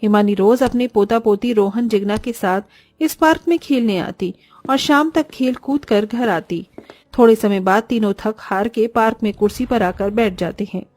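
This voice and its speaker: A woman's voice: on the high side, moderate pace, a little mellow, no room sound. A woman narrating a scripted children's cartoon story as storyteller. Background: faint music.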